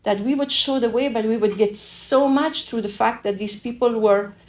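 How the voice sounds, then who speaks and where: A woman's voice: a little high, darkish tone. Woman with a French accent. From Afghanistan. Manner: thoughtful, halting, many restarts. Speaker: interviewee telling her story. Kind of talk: reflective personal testimony.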